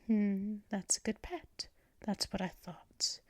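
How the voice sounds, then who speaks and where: A woman voicing a possessive female vampire mistress in an ASMR roleplay, talking in soft, teasing whispers up close to the mic. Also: mid-range voice, animated, dry recording. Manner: slow, drawn-out delivery.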